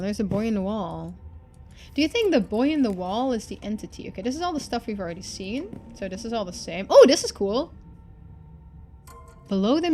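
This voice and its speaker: A woman's voice: mid-range voice, warm tone. A woman streamer giving casual playthrough commentary, thinking aloud and reading game text out.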